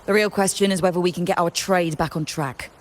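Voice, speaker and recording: Game NPC: actress as a skeptical woman merchant, lower voice, dry and direct. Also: steady tone, brisk, natural voice. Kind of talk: video game voice acting.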